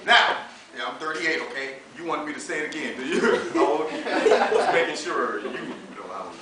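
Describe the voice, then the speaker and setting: A man's voice: a little high, expressive, normal speed, crowd noise. A man in his thirties with an American accent preaching in an emphatic, colloquial style, full of rhetorical questions and acted-out dialogue. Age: 30s. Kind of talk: animated, conversational sermon. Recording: echoey room, distant mic, faint noise.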